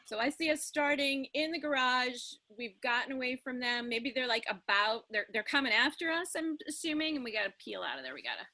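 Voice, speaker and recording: A woman actor casually talking through ideas, telling things in an animated way. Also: sits high, fairly quick, hardly any pauses, dry recording. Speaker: improv audio drama actor. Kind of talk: casual creative discussion.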